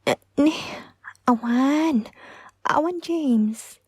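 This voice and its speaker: A woman's voice: high voice, extremely slow, a little mellow, no echo, clean studio sound. A woman playing a meek, loving young wife in a radio drama, hesitant and devoted, with an Ilocano accent. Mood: tender, devoted.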